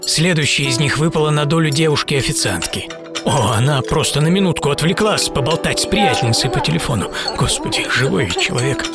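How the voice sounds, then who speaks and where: Man acting a brooding, theatrical English actor over loud music. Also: fairly high pitch, animated, brisk. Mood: sardonic, wry. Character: famous tall English actor, gloomy, sardonic, theatrical. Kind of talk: dramatised first-person monologue, radio play. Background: loud background music.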